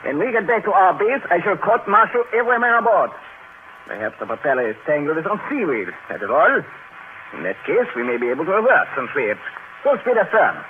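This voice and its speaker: A short-tempered submarine captain barks scornful commands in a fairly high, very animated voice. It sounds like an old radio drama on a thin, narrow-band recording. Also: male voice, fairly quick, a little hiss or hum. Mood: angry.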